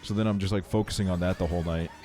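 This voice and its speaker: A man with a fairly low-pitched voice chats casually as he livestreams a game. His talk is slangy and full of swearing. Soft music plays behind him. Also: fairly even intonation, very fast. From Estonia. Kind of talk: casual chat while streaming a video game.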